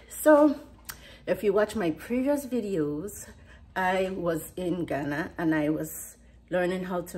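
Lower-pitched Caribbean woman vlogging. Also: darkish tone. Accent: US Virgin Islands Caribbean. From US Virgin Islands. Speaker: vlogger, host. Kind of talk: casual vlog chat to camera. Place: home kitchen, indoors.

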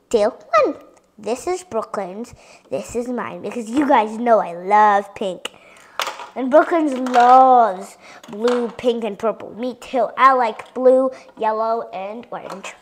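High, slow-talking female toy show-and-tell. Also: a little hiss or hum. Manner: chatty, drops to soft whispers at times. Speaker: toy reviewer. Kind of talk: casual toy tour for viewers.

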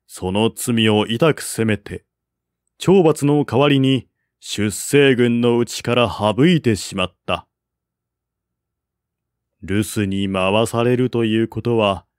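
A man reading a historical novel as scripted narration, in a formal, measured literary manner. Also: medium pitch, darkish tone, no echo, clean studio sound. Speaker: audiobook narrator.

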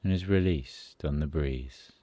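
A man leading a guided sleep meditation in a soothing, unhurried manner, pausing between short phrases. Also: a bass voice, warm tone, no echo.

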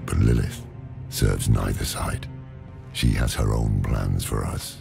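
A man playing a gruff, world-weary old hermit scholar with a dry wit, in a dramatic video game cutscene. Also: steady tone, exaggerated voice. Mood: grave. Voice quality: very deep, gravelly. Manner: slow, weighty, sardonic. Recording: loud music behind the voice.

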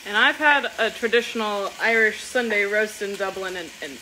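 A woman co-hosting a cooking show, making relaxed asides and reading out viewer comments. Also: mid-range voice, slight background noise, low-quality recording. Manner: unhurried, laughs easily, relaxed asides. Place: kitchen. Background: frying and sizzling.

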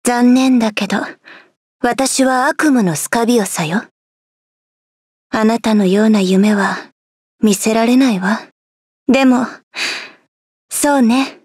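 Anime game nightmare fairy: reserved, cool, slightly high, very dry sound. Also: female voice, normal speed, pauses often, a little mellow, slightly rough, no echo. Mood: reluctant. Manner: composed, aloof, reserved. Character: cool, aloof young nightmare fairy woman. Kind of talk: Japanese anime-style game voice acting.

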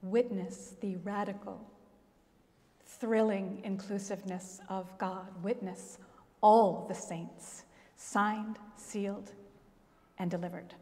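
A middle-aged woman pastor preaching a sermon at a pulpit, telling the story expressively with dramatic pauses. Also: mid-range voice, fairly even intonation, dark tone. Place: large church, at a pulpit. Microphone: gooseneck pulpit mic. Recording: long church reverb.

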